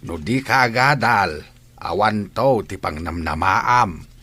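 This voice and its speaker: Earnest, strict old grandpa for radio drama: forceful, lively intonation, rough-edged. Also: male voice, fairly low pitch, slow, full character voice. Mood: earnest urging. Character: stern elderly grandfather, earnest about his granddaughter's schooling.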